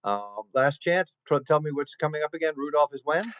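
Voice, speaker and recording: A man co-hosting an interview show, joking and moving things along briskly. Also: a little high, animated, fairly bright tone, clear, resonant tone, dry recording, low-quality recording. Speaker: co-host.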